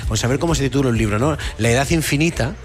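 A man's voice: medium pitch, rapid-fire, soft background music. A man hosting a casual radio interview, asking long rambling chatty questions. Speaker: radio host.

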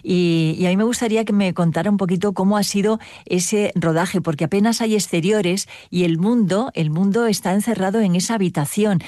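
A woman's voice: medium pitch, fairly quick, hardly any pauses, warm tone, no room sound, clean studio sound. A woman interviewing a guest about film work, asking questions in a polite, conversational way.

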